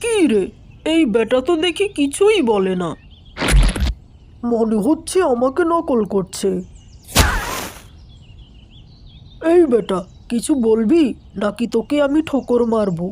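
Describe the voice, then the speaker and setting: A woman's voice: on the high side, big pitch swings, a little slow, dark tone, slight background noise. A woman voicing a vain, scornful crow in a children's cartoon, exclaiming and taunting. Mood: puzzled, exclaiming. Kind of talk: children's cartoon story acting.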